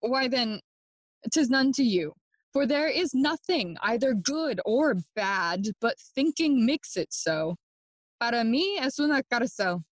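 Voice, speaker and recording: A slow, sardonic young prince Hamlet. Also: female voice, a little high, expressive, no room sound. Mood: wry. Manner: theatrical, mocking, switches between English and Spanish. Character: Prince Hamlet, young man, sardonic, melancholy, feigning madness. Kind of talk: classical Shakespearean stage acting for audio play.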